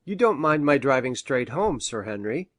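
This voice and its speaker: A man's voice: mid-range voice, sing-song, moderate pace, lightly acted. A man playing a courteous, obliging country doctor in an audiobook, polite but firm. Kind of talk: audiobook character acting.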